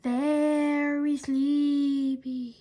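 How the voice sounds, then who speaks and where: A mischievous young schoolboy from a children's storybook, played by a woman reading aloud. The voice is high and eager, with a bossy streak. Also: flat delivery, very slow, warm tone. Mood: hypnotic, commanding. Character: mischievous, excitable schoolboy prankster George.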